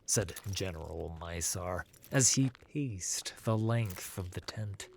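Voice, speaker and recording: A man's voice: deep voice, expressive, slow pace, creaky, a little hiss or hum. A man reading a scripted fantasy story as narrator.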